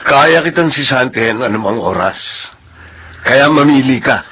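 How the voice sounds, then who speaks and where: A man's voice: mid-range voice, lively intonation, a little slow, pushed and bright, slightly rough, full character voice. A man playing an arrogant, threatening boss in a Filipino radio drama. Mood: menacing. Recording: muffled radio drama recording.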